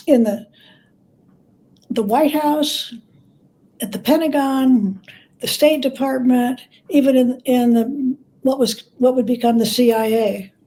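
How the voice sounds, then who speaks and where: A woman with a fairly high, soft-edged and mellow voice talks as an interview guest. She explains in a conversational way, pausing and feeling for her words as she thinks. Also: rough recording. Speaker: guest author, biographer.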